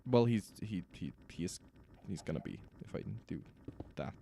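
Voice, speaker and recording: Hoarse male streamer, mellow and lively. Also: low-pitched, a little slow, slight background noise. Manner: talks to himself while building machines. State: raspy, sore voice. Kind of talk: casual gameplay commentary.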